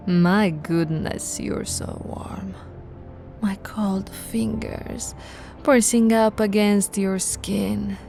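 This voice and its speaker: Woman acting as slow, creaky-voiced possessive vampire queen. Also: medium pitch. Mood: hungry, seductive. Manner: slow, teasing, breathy whispering with soft laughs. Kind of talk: ASMR roleplay acting.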